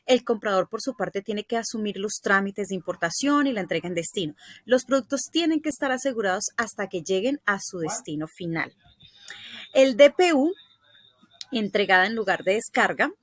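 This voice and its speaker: A woman's voice: medium pitch, animated, moderate pace, no room sound. A woman presenting a scripted explanatory lesson, reading aloud in a didactic way with occasional stumbles.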